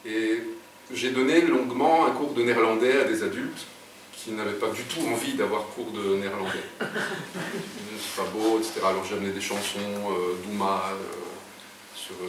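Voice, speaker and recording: Brief: middle-aged man, low voice, halting storyteller, recorded from afar in a roomy space. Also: fairly even intonation. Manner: hesitant, many fillers and restarts. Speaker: guest writer. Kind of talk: casual interview storytelling. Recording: echoey room, distant mic, background noise.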